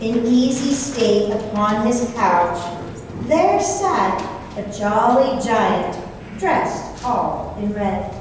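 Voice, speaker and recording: Casting: female storyteller for radio drama, fairly high voice, unhurried, in a very echoey hall. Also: expressive. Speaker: narrator. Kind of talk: scripted storytelling in old-time radio performance. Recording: distant, echoey hall, faint noise.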